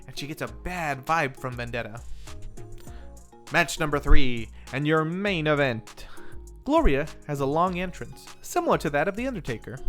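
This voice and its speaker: A man's voice: on the high side, fairly bright tone. A man narrating a scripted film recap as a review host, with casual, lightly wry asides.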